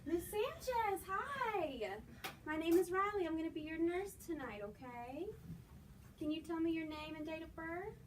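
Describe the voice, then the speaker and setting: A woman's voice: very high-pitched, expressive, normal speed. A woman acting an earnest new nurse in an amateur skit, reassuring and repeating herself to soothe. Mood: nervously polite. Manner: reassuring, repetitive, checking with "okay". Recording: distant microphone, noisy room.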